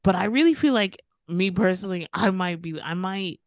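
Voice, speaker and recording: A woman in her thirties co-hosting a casual podcast, relaxed and slangy, laughing as she talks. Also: mid-range voice, moderate pace, no echo. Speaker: podcast co-host. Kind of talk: casual chat.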